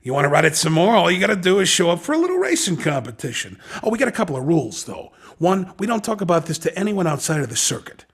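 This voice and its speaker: Raspy, slangy hustler. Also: male voice, fairly high pitch, very animated, fast talker, hardly any pauses, exaggerated voice. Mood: coaxing, slick. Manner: slangy, coaxing salesman patter. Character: Skid, a hustling male street racing recruiter, cocky and slangy. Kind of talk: video game character dialogue.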